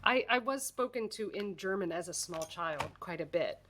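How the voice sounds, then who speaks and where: A woman's voice: lowish voice, animated, moderate pace, fairly bright tone. An American woman hosting a crafting livestream, chatting casually and self-deprecatingly while she works, laughing often and a little congested. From United States.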